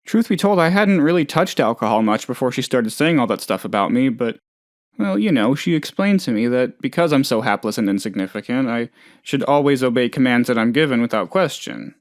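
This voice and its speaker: A man's voice: mid-range voice, animated, brisk, dry recording, studio quality, close to an everyday voice. A man playing a hapless, trusting, lonely oddball in a video game, talking in a rambling, hesitant, good-natured way. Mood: confessional, subdued. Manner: rambling, hesitant, naive, chatty. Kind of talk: video game character dialogue.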